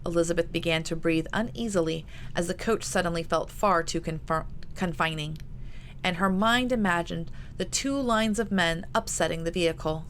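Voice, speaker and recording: A woman's voice: lowish voice, moderate pace, a bit bright. A woman author reading her own historical novel aloud in an audiobook narration style. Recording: noticeable background noise.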